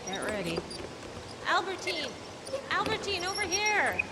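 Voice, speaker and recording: A woman's voice: very high voice, big pitch swings, unhurried, full character voice. A woman playing a shrewd, scheming double-crosser in an old-time radio drama, recorded in a hall. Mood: brisk, coaxing. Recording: noisy, echoey live hall recording.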